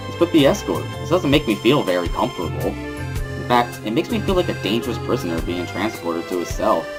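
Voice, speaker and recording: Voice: sits low, big pitch swings, a little fast, over music. A reader playing a wary teenage schoolboy who narrates his own story in a dry, mildly sardonic way, as a visual novel dramatic reading.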